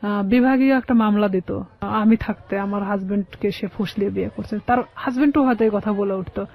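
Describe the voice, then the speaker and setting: A woman's voice: mid-range voice, fairly quick, gravelly. Dull-sounding recording of a Bangladeshi woman. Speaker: guest telling her life story. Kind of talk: personal storytelling in an interview.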